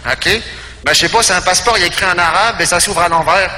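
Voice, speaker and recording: Man playing a halting, muddled airport clerk. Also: high-pitched, noisy background, close to an everyday voice. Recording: echoey hall with music behind.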